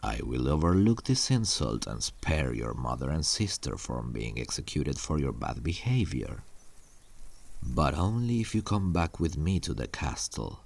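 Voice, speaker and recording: A man playing a menacing, possessive medieval king in an audio roleplay, condescending and coldly threatening, with a gravelly, husky voice. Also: extremely low pitch, very animated, measured pace, darkish tone, exaggerated voice. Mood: cold menace. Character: menacing, possessive warlord king threatening his captive queen.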